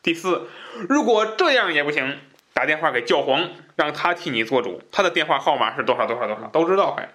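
A man's voice: on the high side, expressive, a little fast, bright, forceful tone. Colloquial man hosting a casual talk show. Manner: colloquial, chuckles at his own asides. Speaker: podcast host.

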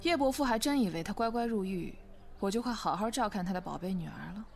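Audio drama cold ex, female actor: young woman, medium pitch, disdainful and vengeful, music underneath. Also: expressive, quick pace, creaky, a little mellow. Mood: cold, scornful. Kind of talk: Chinese audio drama acting.

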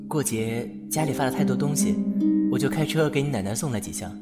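Guy acting as a quiet earnest young ex, mid-pitched, loud guitar music, radio drama. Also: brisk, close to an everyday voice. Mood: reserved, earnest. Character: reserved, earnest young ex-boyfriend.

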